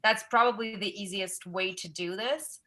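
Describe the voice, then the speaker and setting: A woman's voice: mid-range voice, moderate pace, very noisy. A woman chatting on a video call as a parent in a language group, talking emphatically about her plans. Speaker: participant parent. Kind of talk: casual video call chat. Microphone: laptop video call.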